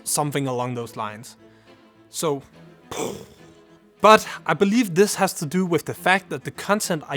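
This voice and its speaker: A man's voice: fairly high pitch, a bit bright. Danish man giving creator advice. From Denmark. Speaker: YouTuber. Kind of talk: scripted vlog talk to camera.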